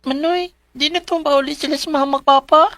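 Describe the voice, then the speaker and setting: A woman's voice: sits high, steady tone, a little fast, music in the background, natural voice. A woman with a Cebuano Filipino accent voicing a sickly, suffering young boy, whiny and pitiful, in a radio drama. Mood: whining, hungry. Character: sickly, suffering little brother, young child.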